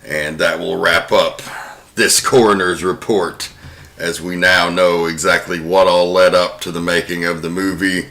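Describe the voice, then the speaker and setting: A man's voice: deep voice, fairly even intonation, unhurried, pushed and bright. A man hosting a podcast, presenting film trivia in an informal way. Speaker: podcast host.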